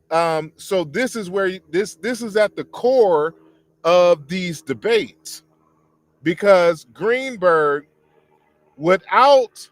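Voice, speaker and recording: A man giving a measured live-stream talk on language classification, reading quotes aloud and often restarting phrases. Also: sits high, no echo. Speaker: guest lecturer on African languages.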